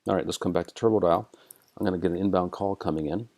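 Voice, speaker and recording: American man, dark low voice, tutorial narration, methodical step-by-step delivery. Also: fast, a little hiss or hum. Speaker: software tutorial presenter. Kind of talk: instructional screencast narration.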